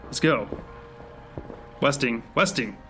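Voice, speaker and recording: An actor playing a stern, cynical field agent in command, speaking curtly in an audio drama. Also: male voice, medium pitch, takes it slowly, over music, close to an everyday voice, low-quality recording. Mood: brisk, businesslike. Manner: curt, commanding.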